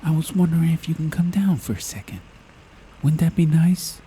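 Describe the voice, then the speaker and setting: A man playing a brash, dorky young New York greaser who charms loudly, in an audiobook story. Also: a little high, moderate pace, slight background noise, pitched above the performer's own voice, lightly acted. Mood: coaxing.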